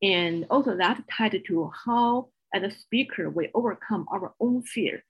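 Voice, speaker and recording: A woman's voice: mid-range voice, measured pace, husky, highs cut off. Woman with a strong Chinese accent. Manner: earnest, explanatory, with non-native grammar. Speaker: guest, executive coach. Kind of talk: podcast interview.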